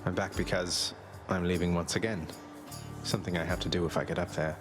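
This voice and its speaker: Man acting a low-voiced, wry young prince. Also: steady tone, a little fast, close to an everyday voice. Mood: earnest. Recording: loud music underneath.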